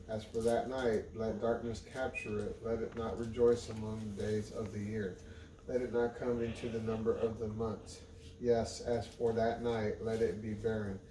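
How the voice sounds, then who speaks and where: A man reading scripture aloud in a halting way, often stumbling and going back over words. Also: on the low side, little pitch movement, dark tone. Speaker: Bible reader. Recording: roomy, distant mic with faint noise.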